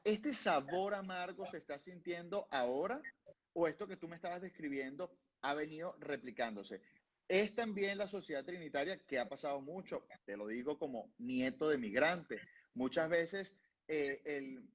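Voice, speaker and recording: A man's voice: fairly high pitch, lo-fi sound. A man hosting an online interview, asking long winding questions full of fillers and restarts. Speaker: host.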